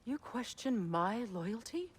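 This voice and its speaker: Proud, expressive warrior goddess. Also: female voice, on the high side, takes it slowly, breathy edge, exaggerated voice. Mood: stern. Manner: grave, formal, intense. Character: proud, wounded warrior goddess, dutiful sister. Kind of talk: cinematic video game acting.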